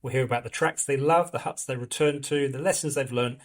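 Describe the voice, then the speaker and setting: A man hosting a hiking podcast, speaking in a measured way. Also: mid-range voice. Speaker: podcast host. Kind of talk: scripted introduction and interview questions.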